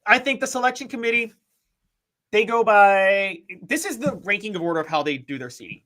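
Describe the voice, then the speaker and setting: Co-host, man, 30s, higher voice with a bright tone, opinionated analyst. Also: animated, fairly quick. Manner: opinionated, analytical, explains at length. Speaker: sports talk co-host. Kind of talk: casual sports talk.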